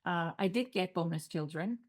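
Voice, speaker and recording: A woman's voice: fairly low pitch, normal speed. A middle-aged woman with an Icelandic accent, a guest telling her story in an earnest way on a podcast interview. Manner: earnest, storytelling, occasional non-native phrasing.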